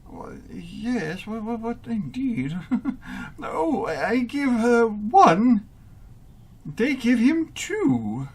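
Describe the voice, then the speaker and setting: A woman's voice: a little high, lively intonation, extremely slow, slightly rough, heavily acted. A woman in a radio play acting as a dithering, easily amused King who stammers and hesitates. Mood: muddled, musing.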